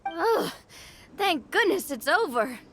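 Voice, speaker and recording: Young duelist gal from a game, high voice, composed but very lively intonation, earnest. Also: female voice, slow, background noise, heavily acted. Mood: relieved. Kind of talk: video game voice acting.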